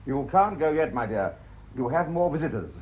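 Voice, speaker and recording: An actor playing an anxious, kindly, placating gentleman with an English accent, cautious and hesitant, in a radio drama. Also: on the high side, lively intonation, normal speed, slight background noise, lightly acted. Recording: dull, band-limited radio drama recording.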